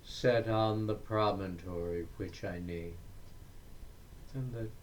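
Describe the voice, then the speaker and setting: A man reciting poetry in a deliberate, declamatory way, breaking the verse into short phrases with frequent pauses. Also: low voice, slight background noise. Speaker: poetry reader.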